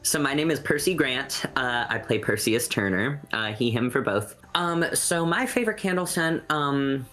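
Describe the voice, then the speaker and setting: A man with a medium-pitched voice chats casually in a Q&A. He speaks as a cast member over faint music. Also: expressive, normal speed, few pauses, bright, forceful tone. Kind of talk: casual Q&A chat. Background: background music.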